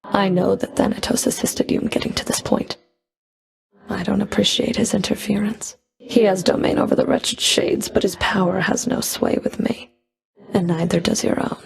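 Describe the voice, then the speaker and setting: A woman's voice: medium pitch, animated, moderate pace, vocal fry, darkish tone. A woman playing a stern, scornful underworld fury in a video game, with a cold, sardonic, taunting delivery. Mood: indignant, menacing. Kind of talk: scripted video game character dialogue.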